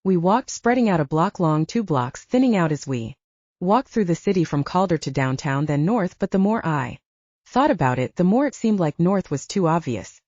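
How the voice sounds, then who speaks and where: Mellow, expressive woman narrating fiction. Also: fairly low pitch, normal speed, no echo, highs cut off. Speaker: audiobook narrator. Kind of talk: scripted first-person fiction narration.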